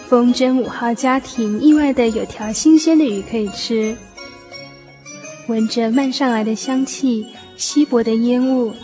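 A woman's voice: on the high side, a little mellow. A woman narrating a scripted story for a radio drama. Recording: music behind the voice.

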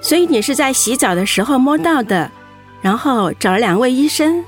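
Lively, high-voiced radio host. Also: female voice, normal speed, music in the background. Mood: attentive, chatty. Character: female radio host, attentive and encouraging. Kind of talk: radio drama acting as presenter.

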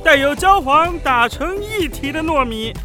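A pompous ancient poet in a children's radio drama. The delivery is grandiloquent and slow, swinging wildly in pitch, with a bright, forceful edge. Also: expressive, soft background music, heavily acted. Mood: savoring, rapturous. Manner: theatrical, grandiloquent, savoring. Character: Qu Yuan, pompous ancient poet serving as food judge.

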